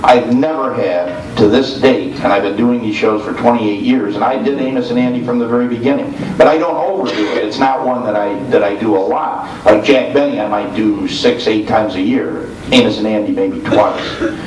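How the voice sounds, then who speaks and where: A man's voice: lowish voice, normal speed, over music. Mature American male radio host, chatty panelist full of asides; distant mic in an echoey room. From small rural area in the United States. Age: middle-aged or older. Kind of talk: informal panel talk. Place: convention room before an audience. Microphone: informal video recording, mic at a distance. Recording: roomy, distant mic with faint noise.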